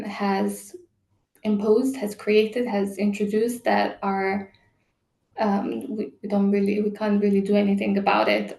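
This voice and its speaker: A woman's voice: medium pitch, vocal fry, dark tone, echoey room. A woman working as an HR and diversity leader answers a panel question hesitantly, with many fillers.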